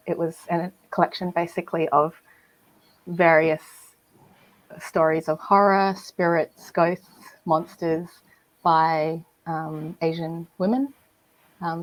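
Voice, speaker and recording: A woman from Malaysia speaking as a writer on a festival panel, thoughtful and hesitant, with many pauses and fillers. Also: lowish voice, muffled recording. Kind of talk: panel discussion.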